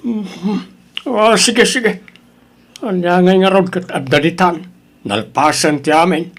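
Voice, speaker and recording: A man's voice: high voice, slow, fairly bright tone, full character voice. A man playing a stern, exasperated middle-aged father in an Ilocano audio drama, blunt and questioning, with an Ilocano accent. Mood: grudging, resigned. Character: stern, exasperated father grilling his daughter's boyfriend.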